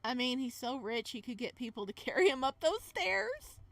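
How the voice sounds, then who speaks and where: A woman hosting a casual visual novel playthrough, reading narration aloud and adding playful, expressive side comments. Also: sits high, normal speed, no room sound. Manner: expressive, playful reactions between read passages.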